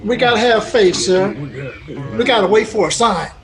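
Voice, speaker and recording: A man's voice: sits high, normal speed, crowd noise. A man playing a devout, sorrowful cowhand in a radio western, speaking in a humble, folksy, deferential way. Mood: solemn, sorrowful. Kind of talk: old-time radio western acting.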